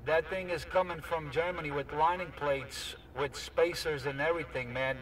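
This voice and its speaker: A man's voice: a little high, fairly even intonation, moderate pace, a bit bright. A man talking casually and informally with other operators over a CB radio. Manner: informal, often adds "man". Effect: CB radio transmission. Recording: distant, noisy CB radio.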